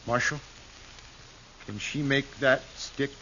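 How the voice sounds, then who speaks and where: Beaten-down simple rancher, american, talks real slow and halting, dull hissy 50s radio. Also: male voice, mid-range voice, sharp tone. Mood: bitter, hurt. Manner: halting, subdued. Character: weary, wounded-hearted, simple frontier rancher, male. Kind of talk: 1950s radio western acting.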